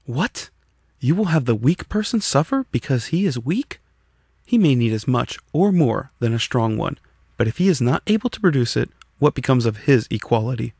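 Man reading as creaky, sceptical communist debater. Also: mid-range voice, lively intonation, moderate pace, darkish tone, dry recording, clean studio sound. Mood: indignant, challenging. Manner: probing, combative questioning. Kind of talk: scripted audiobook reading of a dialogue.

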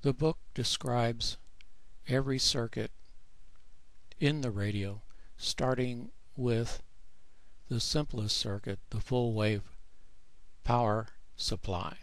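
A man narrating a screen tutorial as the author, explaining plainly in a measured way. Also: medium pitch, dry recording. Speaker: author presenting his own book.

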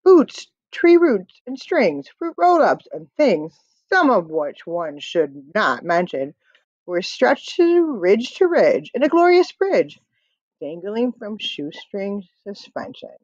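Animated woman reading slowly aloud. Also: medium pitch, no echo. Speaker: read-aloud storyteller. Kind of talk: expressive children's picture-book reading. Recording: dull lo-fi webcam audio.

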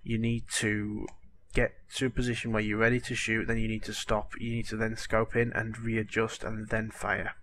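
A man's voice: on the low side, monotone, normal speed, hardly any pauses, a bit bright, a little hiss or hum. A man with a cold and a cracking voice, rambling chattily with lots of fillers over game-tutorial footage. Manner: chatty, rambling, apologetic, lots of "you know". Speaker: gaming tutorial host.

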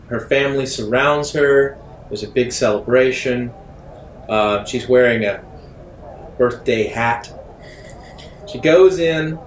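A male game master narrates a role-playing story at an unhurried pace. He pauses for drama between phrases. His mid-pitched voice sits in a roomy recording with faint noise.

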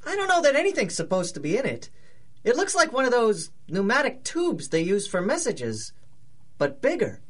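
A woman's voice: fairly high pitch, huge pitch swings, moderate pace, far from the mic. A woman playing a nervous, hesitant young male locksmith and reluctant burglar in a 1930s-style radio drama. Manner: hesitant, protesting, exclaiming. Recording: roomy, slightly off-mic.

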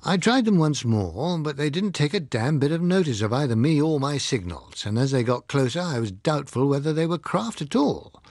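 Military pilot, acted by a male reader, husky, guarded and matter-of-fact, animated delivery for an audiobook. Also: on the high side, normal speed, few pauses, no echo, studio quality. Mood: candid, animated. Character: candid military pilot recounting a strange encounter. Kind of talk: audiobook character dialogue.

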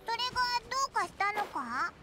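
A woman's voice: extremely high pitch, very animated, a little fast. A woman voicing a tiny childlike fairy companion in a game, with a cute, blunt, exclamatory manner. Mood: curious. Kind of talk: video game voice acting. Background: prominent background music.

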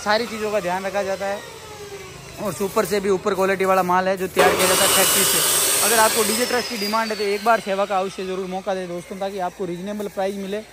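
A man giving an improvised, repetitive promotional pitch for his factory's products in running sales patter. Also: little pitch movement, fast. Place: welding workshop at a factory. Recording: noisy workshop with hiss and machinery.

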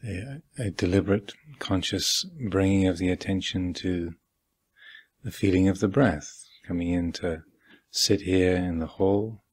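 A man with a husky voice guiding a meditation as a teacher, speaking calmly and deliberately with long pauses. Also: low-pitched. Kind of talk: guided meditation instruction. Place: meditation hall at a retreat.

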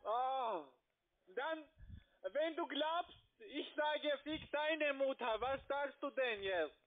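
A man with a foreign accent talking crudely and haltingly on a phone call. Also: very high voice. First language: not German. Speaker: abusive phone caller. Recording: muffled narrow phone line.

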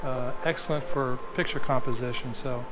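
A man's voice: mid-range voice, steady tone, normal speed, music in the background. A middle-aged man, a photographer, talking casually as he walks and shoots, thinking aloud with restarts, recorded on a body-worn action camera. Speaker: photographer vlogger. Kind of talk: casual vlog narration. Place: outdoors and in a train station.

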